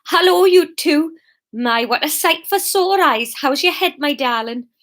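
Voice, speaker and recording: Lady doing a smarmy newcastle bloke in an audiobook, high voice, bit theatrical. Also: lively intonation, unhurried, a bit bright. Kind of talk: audiobook character dialogue.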